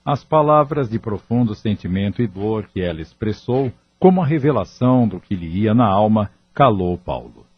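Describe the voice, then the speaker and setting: A man's voice: fairly low pitch, lively intonation, moderate pace, few pauses, husky, lo-fi sound. A man with a Brazilian accent reading scripted narration for an audio drama. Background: music.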